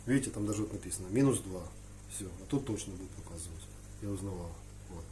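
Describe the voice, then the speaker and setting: A man giving a casual, rambling hobby vlog in colloquial, slangy speech, thinking aloud and often clearing his throat between phrases. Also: low-pitched, fast talker, mellow. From Ukraine. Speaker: hobby aquarium vlogger. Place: indoors in a room with aquariums. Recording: noticeable background noise.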